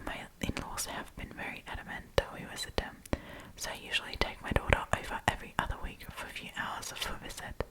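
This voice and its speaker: Woman doing asmr storytime, all whispered, breathy, chatty and informal. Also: poor audio. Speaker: host of storytime series. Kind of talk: ASMR storytelling, reading and commenting.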